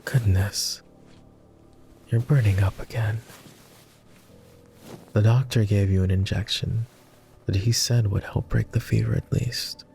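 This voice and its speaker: Man playing a posh, gravelly, slow-spoken vampire. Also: lowish voice, steady tone, soft background music. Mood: tender, caring. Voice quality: soft, gravelly. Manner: posh, formal, tender. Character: gentle, teasing male vampire, devoted and wistful. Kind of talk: intimate roleplay ASMR.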